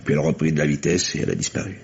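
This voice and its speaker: An actor playing an earnest, methodical police lieutenant in a French mystery radio play, speaking deliberately. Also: male voice, a bass voice, lively intonation, vocal fry, a little mellow, full character voice. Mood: somber. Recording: muffled, hissy vintage radio recording.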